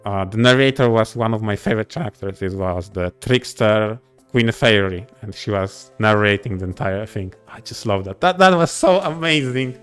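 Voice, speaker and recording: A man's voice: fairly low pitch, normal speed, few pauses, a bit bright, clear, resonant tone. A man co-hosting a casual podcast, effusive and emphatic in the way he agrees and reacts. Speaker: podcast co-host. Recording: music playing behind the voice. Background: background music.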